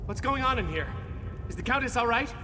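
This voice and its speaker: An actor playing a brave, battle-hungry young warrior companion in a fantasy video game, eager and boyishly insistent. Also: male voice, very high voice, animated, a little fast, pushed and bright, long reverb tail, slight background noise, full character voice. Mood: concerned.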